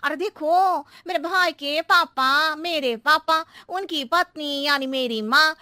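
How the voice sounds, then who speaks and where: A woman's voice: high voice, sharp tone, no room sound, clean studio sound. A woman voicing a scatterbrained housemaid who talks in rambling circles and riddles in a comedy cartoon. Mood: evasive, rambling. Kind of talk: exaggerated Hindi cartoon comedy acting.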